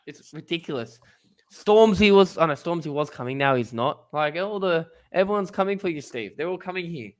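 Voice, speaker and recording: A man's voice: fairly high pitch, expressive, brisk. Rambling young Australian man. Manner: rambling, joking, with fillers. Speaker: podcast co-host. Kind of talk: casual chat.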